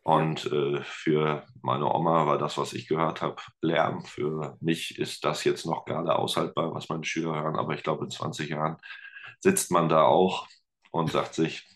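A middle-aged man, a teacher and musician, talking casually as a podcast guest, relaxed and rambling with many fillers. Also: a bass voice, normal speed. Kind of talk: casual interview chat.

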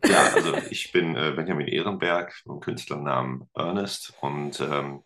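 A man with an extremely low-pitched voice speaks as a guest in a relaxed interview. He talks in a conversational, rambling way, working out his thoughts as he says them. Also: moderate pace. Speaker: guest, teacher and musician. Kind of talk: casual interview chat.

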